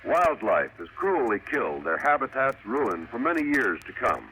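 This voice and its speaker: Emphatic male radio announcer, bright and forceful. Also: medium pitch, big pitch swings. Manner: grave, emphatic, deliberate phrasing. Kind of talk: 1950s radio announcing, scripted public service narration. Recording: noisy vintage radio broadcast.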